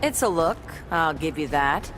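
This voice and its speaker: A woman's voice: low-pitched, expressive, unhurried, heavy background noise. A woman playing a confident, wry superheroine mentor in a video game, teasing as she talks. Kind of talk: video game character dialogue. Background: loud music.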